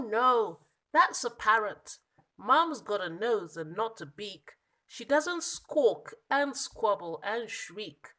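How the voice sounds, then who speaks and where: A woman voicing a small, lost young monkey from a children's storybook, plaintive and exasperated, with emphatic, drawn-out protests. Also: a little high, pushed and bright, heavily acted. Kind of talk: children's storybook character reading.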